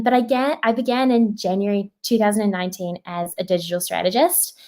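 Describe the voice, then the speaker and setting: A woman's voice: mid-range voice, a little mellow. A woman in her twenties with a New Zealand accent, talking upbeat and chatty about her work at a virtual careers session. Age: 20s. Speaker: digital strategist presenter.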